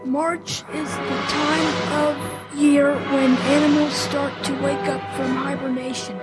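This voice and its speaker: A woman's voice: sits high, flat delivery, takes it slowly, over loud music. A woman on a podcast team reading short facts aloud.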